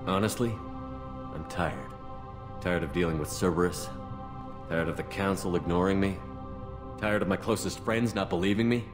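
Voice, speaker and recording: A man playing a steady, dry, plain-spoken military commander in a video game cutscene. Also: deep voice, normal speed, long pauses, music in the background, lightly acted. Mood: weary.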